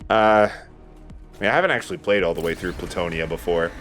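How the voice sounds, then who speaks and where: Low-voiced man casually talking through a game. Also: brisk, a bit bright, faint music behind. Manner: rambling, sometimes profane. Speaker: let's play host. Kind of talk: casual gaming commentary.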